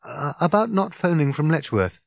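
A man voicing a placid, patient ordinary man who speaks calmly and mildly. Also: a little high, normal speed, gravelly, pitched above the performer's own voice. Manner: calm, flat, mild.

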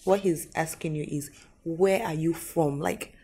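An actress with a Nigerian accent playing a young bride-to-be, flustered and stammering. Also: female voice, low-pitched, measured pace, mellow, a little hiss or hum. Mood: earnest. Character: young bride-to-be introducing fiancé.

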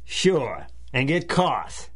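A man's voice: medium pitch, big pitch swings, extremely slow, slightly rough, exaggerated voice. An actor playing a wary, hard-bitten bank robber in a 1930s-style radio drama, laconic and cynical in tough-guy slang. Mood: wary, dry.

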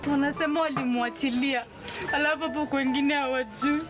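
A woman's voice: high voice, steady tone, brisk, close to an everyday voice. A woman acting a bitter, defiant bereaved woman in a radio drama, with a Kenyan accent.

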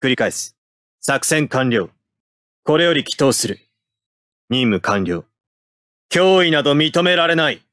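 A man's voice: a little high, animated, unhurried, long pauses, a bit bright, no echo, studio quality. A man playing a cold, stoic young mecha pilot in an anime video game, speaking in terse, clipped military phrases. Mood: calm, detached. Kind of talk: anime game battle voice lines.

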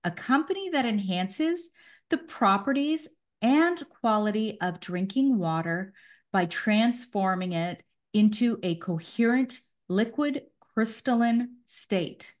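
A woman's voice: medium pitch, lively intonation. A woman in her forties hosting a podcast from a small home studio, talking in an upbeat, chatty way into a studio mic. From Canada. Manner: upbeat, chatty, quick asides. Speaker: podcast host. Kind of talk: casual video-call interview. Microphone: studio mic on a stand.

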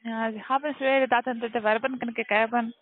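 A woman's voice: on the high side, fairly even intonation, normal speed, no echo. Foreign-accented woman on a tinny phone. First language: not German. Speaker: call center investment saleswoman. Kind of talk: telephone sales call. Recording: tinny narrow phone line.

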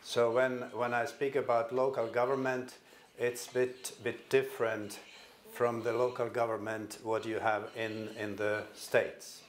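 An older man from Finland with a Finnish accent gives a conference presentation in a hall, speaking haltingly and repeating words as he restarts. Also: mid-range voice, flat delivery, a little hiss or hum. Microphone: stand microphone at a podium.

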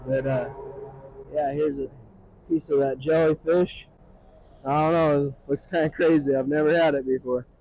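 A man's voice: fairly high pitch, fairly even intonation, normal speed, pauses often, mellow, low-quality recording. A middle-aged man giving casual, enthusiastic, plain-spoken food commentary as the host of a vlog. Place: outdoors on a city street at night. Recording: clear street noise with passing vehicles.

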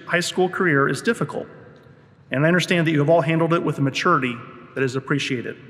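A man's voice: a little high, a bit bright, big-room sound. Middle-aged man reading earnest remarks. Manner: earnest, reads from notes. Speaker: scholarship presenter. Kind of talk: prepared remarks read aloud. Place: indoors in front of a wall plaque.

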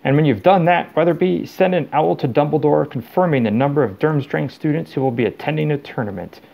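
A man's voice: a little high, fairly quick, creaky, dull audio, voice pushed up. A man voicing a deluded older official who fluently and officiously gabbles orders to nobody. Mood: brisk, self-satisfied.

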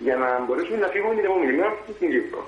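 A man's voice: sits high, expressive, reverberant. Cypriot man on a tinny phone line. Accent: Cypriot Greek. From Cyprus. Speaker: phone-in caller. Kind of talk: recounting a personal story.

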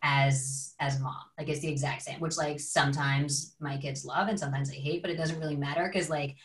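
A woman, a teacher, talks as a podcast guest, rambling and acting out what people said. Her voice sits low, and it comes through a distant, echoey video call. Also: steady tone, brisk. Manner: rambling, lots of "like", acts out quotes. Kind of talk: casual storytelling chat. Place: home room, video call.

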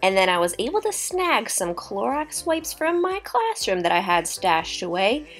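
A young woman talks through her cleaning routine in a lively, up-and-down voice, explaining each step like friendly tips. Soft music plays faintly underneath her voice-over. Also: medium pitch, normal speed. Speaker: vlogger. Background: soft background music.

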